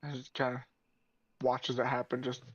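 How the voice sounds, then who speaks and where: A man's voice: mid-range voice, completely flat delivery, normal speed, no echo, dull audio. A man playing a tabletop game, casually narrating his character and muttering asides.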